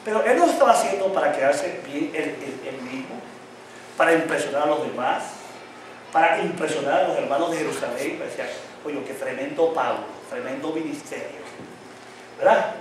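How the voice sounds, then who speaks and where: A man's voice: on the high side, a little fast, slight background noise. A male pastor preaching a sermon, often asking the congregation questions for them to answer, with a slight tongue-tie that tangles his words. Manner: call-and-response questions to the congregation. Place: church hall. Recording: very echoey hall, mic at a distance.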